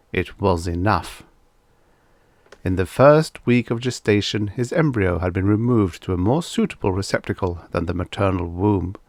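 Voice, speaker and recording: A man's voice: on the low side, no room sound. A man narrating vintage science fiction stories in a scripted audiobook style.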